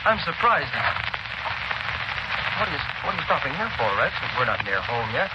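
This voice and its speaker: An actor playing a gentle, anxious young blind man with earnest, stammering speech in 1930s radio style. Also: medium pitch, big pitch swings, a little slow. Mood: puzzled. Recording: dull, very noisy 1930s radio broadcast.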